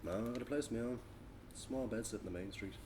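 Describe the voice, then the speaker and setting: A man's voice: medium pitch, quick pace, darkish tone, natural voice. An actor playing a guarded, irritable man just out of prison, giving curt replies in a script read. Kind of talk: informal table read of a script. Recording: clear background room noise.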